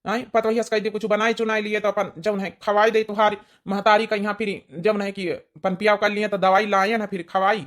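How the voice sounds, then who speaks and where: A woman puts on an old village father-in-law from a cartoon comedy, exasperated and quick to scold. The voice sits at an average female pitch, with an Awadhi accent and rambling, repetitive phrasing. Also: flat delivery, close to an everyday voice. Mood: concerned.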